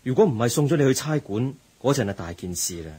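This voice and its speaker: A man's voice: mid-range voice, expressive, fast talker, mellow, breathy edge. A man with a Hong Kong Cantonese accent playing a teacher in a radio drama, earnestly lecturing a student. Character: patient middle-aged teacher, moralizing and kind.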